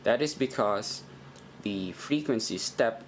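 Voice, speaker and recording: Slow, low-pitched man explaining step by step. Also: animated, slight background noise. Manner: halting, deliberate, repeats himself. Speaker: instructor in a how-to video. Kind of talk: informal step-by-step tutorial.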